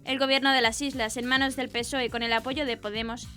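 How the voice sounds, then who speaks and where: Woman newsreader with a high voice. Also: little pitch movement, brisk, bright, forceful tone, faint music behind. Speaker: news presenter. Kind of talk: scripted news narration.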